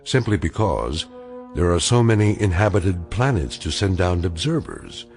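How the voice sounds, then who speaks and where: A wry, portentous storyteller closing a radio play. His voice is deep with dramatic rises and falls, measured and ironic, over clear music. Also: big pitch swings, a little mellow. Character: wry, portentous anthology narrator. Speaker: narrator. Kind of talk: scripted radio drama narration.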